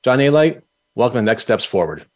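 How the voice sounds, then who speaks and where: Male podcast host, middle pitch, formal read intro, steady questions. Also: a little fast. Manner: steady, formal reading of the guest's bio.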